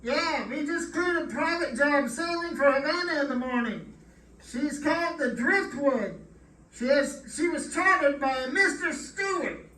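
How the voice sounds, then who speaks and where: A woman playing a brisk, clipped male customs office clerk in old-time radio drama. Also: sits high, animated, bright, forceful tone, heavily acted. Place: live stage performance, mic at a distance. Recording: distant mic in echoey room.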